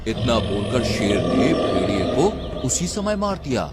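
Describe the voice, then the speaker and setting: A man's voice: mid-range voice, very animated, a little slow, very noisy. A man narrating a scripted children's story as the storyteller.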